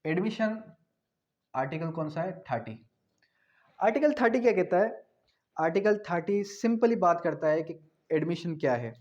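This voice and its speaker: A man's voice: a little high, animated, moderate pace, long pauses, a little mellow. A man with a Pakistani accent teaching law, explaining point by point in a didactic way and posing questions that he answers himself. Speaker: law lecturer. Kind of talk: law lecture explaining articles clause by clause. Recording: dull, lo-fi audio.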